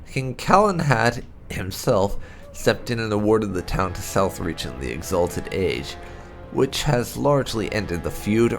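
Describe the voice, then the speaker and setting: Deep, creaky-voiced man narrating with lively swings. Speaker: host of a game playthrough channel reading lore entries aloud. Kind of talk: expressive scripted narration, storybook-like reading of fantasy lore. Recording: music behind the voice.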